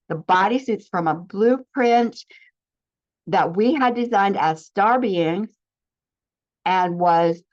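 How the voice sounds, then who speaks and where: A woman giving an earnest spiritual teaching talk, speaking in declarations with frequent restarts. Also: medium pitch. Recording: slightly dull lo-fi recording.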